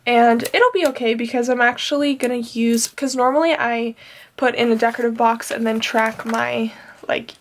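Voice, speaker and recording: A young woman talks in a relaxed, rambling way, her voice slightly high with a gravelly fry at the ends of phrases. She sounds a little nasal from allergies. Faint noise and paper clicks sit under her voice. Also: normal speed. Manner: chatty, rambling with fillers. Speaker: planner vlogger. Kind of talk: casual plan-with-me chat.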